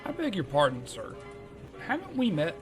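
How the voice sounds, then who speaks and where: An enigmatic villain played by a man in a radio drama, with a slightly high voice that swings widely in pitch. He is haughty and probing beneath his politeness, with music clearly audible behind. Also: huge pitch swings, normal speed. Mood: suspicious, polite. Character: villainous, enigmatic male occult researcher, coolly polite. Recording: prominent music under the voice.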